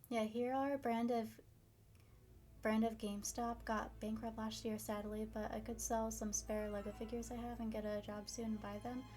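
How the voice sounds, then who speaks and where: A young woman, a bit hoarse, chatting casually with her viewers on a live stream. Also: fairly high pitch. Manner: chatty, upbeat, reads viewer comments. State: slightly hoarse, losing her voice.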